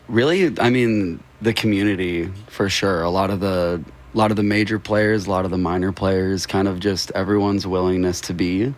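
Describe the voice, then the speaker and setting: An American man talking casually as an interview guest, in a laid-back, slangy way. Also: lowish voice. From Seattle, United States. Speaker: interview guest, local musician and label co-founder.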